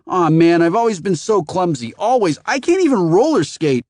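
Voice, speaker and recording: A man's voice: high voice, big pitch swings, moderate pace, a little mellow, no room sound, clean studio sound, voice pushed up. A man voicing a panicky, clumsy teenage boy who babbles frantic apologies. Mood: flustered.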